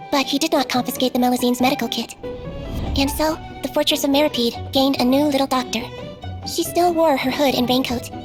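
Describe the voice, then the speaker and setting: A woman playing a gentle, solemn storybook narrator in a video game cutscene, with a measured delivery. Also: sits high, close to an everyday voice.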